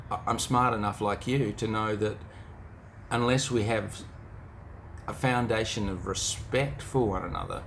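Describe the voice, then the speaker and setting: A man's voice: lowish voice, vocal fry, a little hiss or hum. A middle-aged man with a New Zealand accent giving an informal motivational talk, reflective and speaking straight to the listener.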